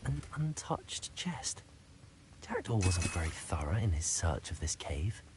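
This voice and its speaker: A man's voice: deep voice, sing-song, a little slow, noisy background. A man voicing a curious, bold young student wizard in a fantasy video game. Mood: curious musing. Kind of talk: video game voice acting, musing aloud and calling spells.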